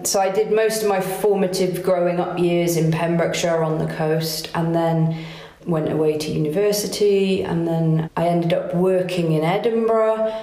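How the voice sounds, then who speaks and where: A woman's voice: fairly low pitch, steady tone, normal speed. A woman in her forties, raised in Wales, chatting in an interview as a competition organiser, with frequent restarts. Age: 40s. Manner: chatty, warm laughs, many restarts. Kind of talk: casual interview. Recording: roomy echo.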